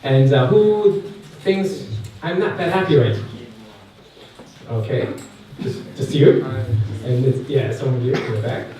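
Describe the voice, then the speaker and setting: A man's voice: medium pitch, unhurried, dark tone. Man, thirties, Korean accent, chatty speaker with fillers, very echoey hall, distant mic. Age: 30s. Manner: chatty, asks the audience questions, many fillers. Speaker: guest speaker at a youth camp. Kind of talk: personal testimony talk. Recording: echoey hall, mic far off, faint noise.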